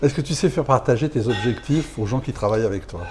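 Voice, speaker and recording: A man's voice: mid-range voice, quick pace, crowd noise. A man who hosts interviews for a business association, asking long, winding questions full of fillers.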